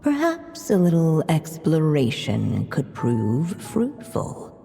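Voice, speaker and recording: An unseen, composed storybook narrator in a fantasy game. The voice is low and mellow, with slow, knowing, theatrical phrasing. It rings with long reverb. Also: female voice, huge pitch swings, gravelly, faint music behind, heavily acted.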